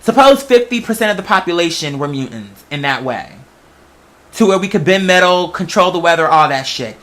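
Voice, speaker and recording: A man's voice: high-pitched, animated, a little fast, fairly bright tone. An American man hosting a casual unscripted monologue, talking in a rambling, conversational way and swearing freely.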